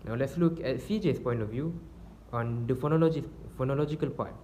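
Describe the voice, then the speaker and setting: A man's voice: mid-range voice, brisk, dark tone, slight background noise. A man giving a class presentation, reading his points with frequent fillers and restarts. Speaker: student presenter.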